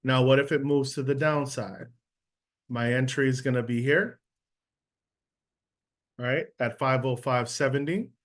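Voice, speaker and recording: A man leads a relaxed online lesson, talking it through in an easy, everyday voice. His pitch sits in the ordinary middle range. He often pauses to check that his listeners are following. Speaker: trading instructor. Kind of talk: live online trading lesson. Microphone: video call.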